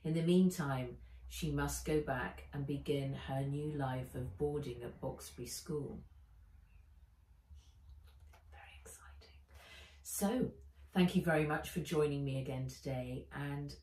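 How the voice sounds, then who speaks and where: A woman author reading her children's book aloud as an expressive storyteller, with deliberate dramatic emphasis. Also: deep voice, a little hiss or hum. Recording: roomy echo, distant mic.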